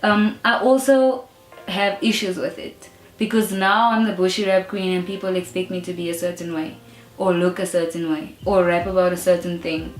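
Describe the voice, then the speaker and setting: A woman's voice: on the low side, fairly even intonation, normal speed. A young South African woman, a rap artist, talking openly and emphatically in an interview, often adding "you know". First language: English. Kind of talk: casual interview talk. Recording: roomy echo with faint music behind.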